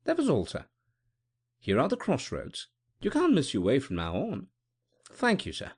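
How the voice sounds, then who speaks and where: A man's voice: medium pitch, huge pitch swings, normal speed, breathy edge, pitched above the performer's own voice, heavily acted. A man voicing a deferential, watchful butler in an audiobook, speaking in a polite and formal way. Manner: polite and formal, saying sir often. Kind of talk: audiobook character voice.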